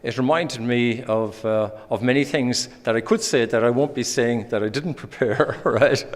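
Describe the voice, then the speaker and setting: A man from Ireland with an Irish accent, giving a conference presentation with many pauses and restarts. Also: medium pitch. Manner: hesitant, many ums and restarts. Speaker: conference speaker. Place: conference hall. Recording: hall reverb.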